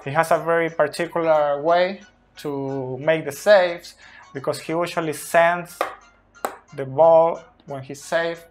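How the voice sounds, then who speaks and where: Slow, deliberate man explaining football tactics. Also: a little high, fairly even intonation, a little hiss or hum. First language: not English. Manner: slow, deliberate, frequent "okay?" checks. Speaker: football analyst. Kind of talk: unscripted tactics explainer at a whiteboard. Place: small indoor room by a whiteboard. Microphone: phone camera close up.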